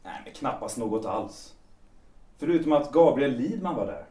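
A young actor with a Swedish accent playing a hesitant, evasive lover who speaks softly in an audio drama. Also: male voice, mid-range voice, very animated, close to an everyday voice. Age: young adult. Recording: roomy, distant from the mic.

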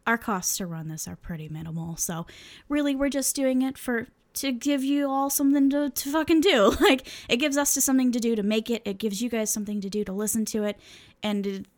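A woman's voice: a little high, fairly quick, a little mellow. A young woman co-hosting a podcast, chatting casually with lots of fillers and laughs, swearing freely. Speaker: podcast co-host.

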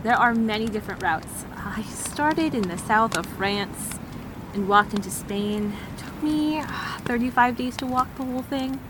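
A woman's voice: a little high, a little slow, background noise, natural voice. A woman acting in an audio drama as a self-contained wandering survivor, matter-of-fact and reassuring. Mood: wistful, open. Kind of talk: naturalistic audio drama dialogue.

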